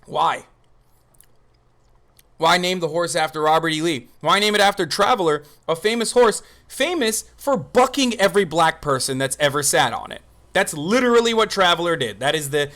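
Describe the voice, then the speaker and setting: A man's voice: fairly high pitch, a bit bright. A man doing unscripted livestream commentary, emphatic and argumentative, with casual swearing. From Turkey. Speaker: streamer and political commentator.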